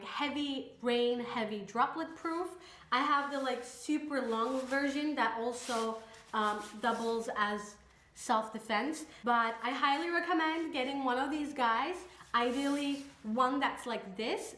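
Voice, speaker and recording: A woman's voice: sits high, fairly bright tone, roomy sound. A young woman from Turkey, talking casually and sarcastically to camera as a vlogger. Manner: sarcastic, chatty, upbeat.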